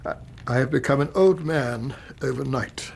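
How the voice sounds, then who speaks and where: A man's voice: mid-range voice, normal speed, gravelly, slight background noise, close to an everyday voice. A male actor playing a distraught older banker, stammering and pleading in a British accent in a radio drama. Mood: broken, despairing. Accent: British English. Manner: stammering, agitated.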